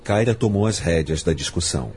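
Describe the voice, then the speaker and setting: Deep-voiced man narrating dark fantasy. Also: lively intonation, fairly quick, slight background noise. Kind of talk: scripted dramatic audiobook narration of fantasy fiction. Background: music.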